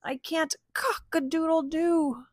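A woman's voice: extremely high pitch, steady tone, slow pace, no echo, studio quality, voice pushed up. A woman voicing a sick, worried storybook rooster with a sore throat, in a plaintive manner. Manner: coughing, plaintive.

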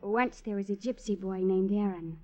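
Female actor, coy, beguiled country woman for radio play, warm dark tone, muffled old recording. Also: medium pitch, moderate pace, lightly acted. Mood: dreamy, enchanted. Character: dreamy, flirtatious lovelorn village woman. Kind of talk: 1950s radio drama acting.

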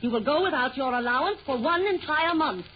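A woman's voice: high-pitched, expressive, a little fast. A woman playing a stern, commanding mother in a 1940s radio sitcom. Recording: muffled, noisy 1940 radio recording.

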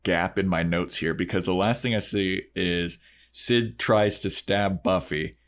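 A man with a somewhat low voice talks as a podcast co-host. He retells events casually, leaning on emphatic words as he goes. The delivery is informal and conversational. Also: measured pace, fairly bright tone. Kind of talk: casual episode recap.

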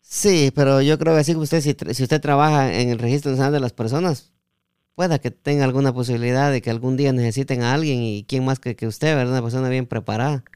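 A man's voice: medium pitch, fairly even intonation, fast talker, a little mellow, smooth, dry recording, clean studio sound. A man with a Guatemalan accent hosting a casual interview, hesitating and repeating words as he forms his questions. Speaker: podcast host interviewing a guest.

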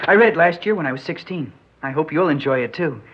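An actor in an old radio drama playing a cheerful, helpful teenage grandson, plainspoken and friendly. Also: male voice, on the high side, animated, quick pace, natural voice. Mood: friendly, eager. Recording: muffled, hissy old radio recording.

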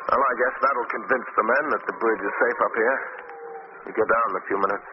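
An actor playing an earnest, beleaguered bridge-building boss in emphatic old radio drama style. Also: male voice, on the low side, fairly quick, natural voice. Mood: subdued. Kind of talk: 1940s radio acting. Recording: noisy, dull old radio recording.

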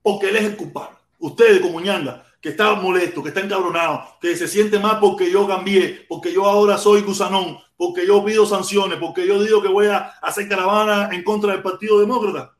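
Man, Cuban accent, high voice, slangy repetitive livestream chatter, casual swearing, roomy echoing sound. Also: fairly even intonation, brisk, hardly any pauses. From Cuba. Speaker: livestream host. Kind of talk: informal live political rant and chat with commenters. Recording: echoey untreated room.

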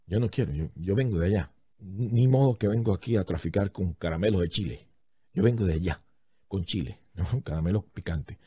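A man's voice: sits low, fairly quick, warm tone, slightly rough, no room sound. A man telling a story in a casual, comedic way, animatedly acting out what other people said.

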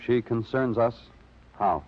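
An actor playing a world-weary New York police detective in a 1950s radio crime drama, clipped, dry and wryly poetic. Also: male voice, mid-range voice, takes it slowly, lightly acted. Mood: dry, impatient. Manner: hard-boiled, clipped questioning, dryly poetic narrating. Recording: old radio recording, muffled, music behind.

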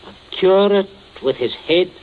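A cold, controlling middle-aged father in an old radio thriller. His delivery swings widely in pitch between clipped orders and sly pleasantries. The recording is dull, with faint noise underneath. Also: animated, a little slow. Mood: cold, calculating. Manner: clipped commands, sly false joviality. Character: stern, cold, domineering middle-aged father covering up a killing. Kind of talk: 1940s radio thriller acting.

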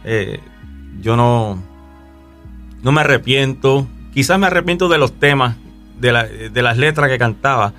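A man with a Puerto Rican accent gives his testimony on a radio show, speaking in an easy, halting way full of fillers and restarts. Faint music plays softly beneath him. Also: medium pitch. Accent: Puerto Rican Spanish. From Puerto Rico. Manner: relaxed, hesitant, many fillers and restarts. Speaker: guest musician.

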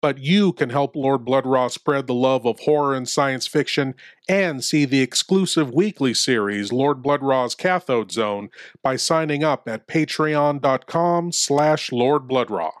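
A man hosting a horror show with theatrical scripted narration and deliberate spooky showmanship. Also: mid-range voice.

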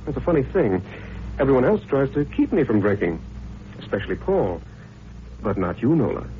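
An actor playing a cynical, hard-drinking newspaper columnist, wry and needling, in 1940s radio drama style. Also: male voice, mid-range voice, sing-song, moderate pace, close to an everyday voice. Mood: bitter, needling. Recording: tinny, hissy old radio recording.

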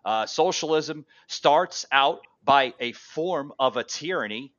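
A man's voice: mid-range voice, expressive, takes it slowly, fairly bright tone, highs cut off. A man hosting an unscripted political debate, insistent and repeating his phrases for emphasis. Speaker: talk show host.

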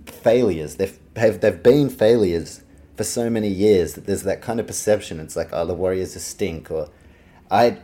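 A man's voice: low voice, normal speed, warm tone. A man with a New Zealand accent giving a casual, opinionated solo sports preview as a podcast host, informal and sweary. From Auckland, New Zealand.

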